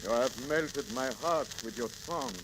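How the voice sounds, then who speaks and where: A grieving, regal king played by a male actor declaims slowly in an old radio play. He is solemn and commanding, with weighty phrasing. The 1930s disc crackles and hisses around him. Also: medium pitch, close to an everyday voice. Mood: moved, commanding. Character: grieving, commanding medieval king, deeply moved. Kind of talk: 1930s radio drama acting.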